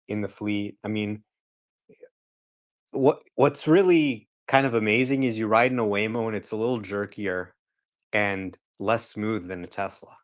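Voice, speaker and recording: A man co-hosting a live chat, giving relaxed commentary and thinking aloud with fillers. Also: lowish voice. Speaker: co-host. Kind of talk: casual chat, opinionated commentary.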